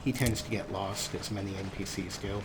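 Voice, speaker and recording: A man's voice: low-pitched, steady tone, a little fast, background noise. A man hosting a game let's play, commenting in a sarcastic, self-mocking way with casual swearing. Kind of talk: gameplay commentary.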